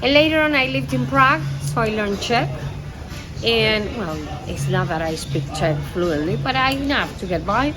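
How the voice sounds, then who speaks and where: A woman from Spain whose first language is Spanish, a tour guide answering interview questions in a lively way, laughing often and searching for words. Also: on the high side, moderate pace, over music, rough recording.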